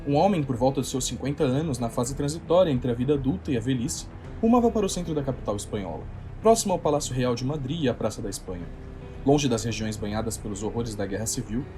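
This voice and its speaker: Animated Brazilian male narrator over music. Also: medium pitch. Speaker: narrator of a fan-made audio drama. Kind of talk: scripted literary narration.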